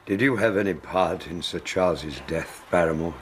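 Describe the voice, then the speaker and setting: Man playing a deep, grave gentleman investigator. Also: slight background noise, lightly acted. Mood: stern. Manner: measured, formal questioning. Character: grave, probing gentleman investigator, calm and authoritative. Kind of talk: radio drama acting.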